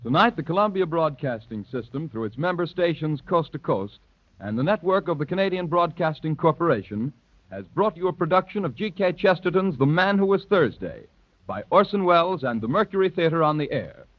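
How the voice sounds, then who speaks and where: A man's voice: on the high side, very animated, a little fast, no echo. An American man announcing formally and with careful enunciation as a network announcer on an old 1930s radio recording. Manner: formal, crisply enunciated proclamation. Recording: dull, dry vintage 1930s radio recording.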